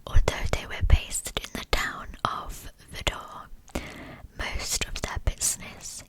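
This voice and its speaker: A woman whispering in a hushed, soothing way as the host of an ASMR true crime channel. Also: slow, poor audio. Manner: hushed, soothing delivery meant for sleep.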